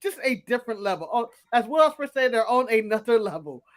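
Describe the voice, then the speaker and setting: Sex: man. Origin: United States. Role: podcast co-host. Style: casual chat. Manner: animated, repeats himself. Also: very high voice, quick pace.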